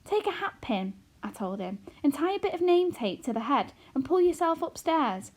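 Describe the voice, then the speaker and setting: A woman's voice: sits high, sing-song, moderate pace, a little mellow, pitched above the performer's own voice. A woman reading aloud and voicing an anxious, fretful tiny mother who exclaims and frets, in a storybook reading. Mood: distraught. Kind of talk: audiobook character reading.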